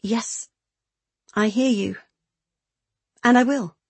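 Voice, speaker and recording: A young woman in her twenties, acted by an audiobook narrator, anxious and guilty. Her voice is breathy and a little rough, and she speaks in an urgent, flustered way. The sound is dry and intimate. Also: high-pitched, big pitch swings, moderate pace, a little mellow, no room sound, voice pushed up, exaggerated voice. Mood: guilty, resolute. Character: guilty, flustered 26-year-old woman having a secret affair.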